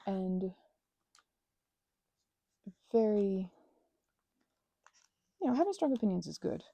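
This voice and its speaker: Casting: woman, mellow husky voice, very animated pitch, halting intimate crafting-vlog ramble, reflective and self-interrupting. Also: no echo. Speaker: craft vlogger and ASMR creator. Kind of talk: rambling, intimate casual chat while crafting.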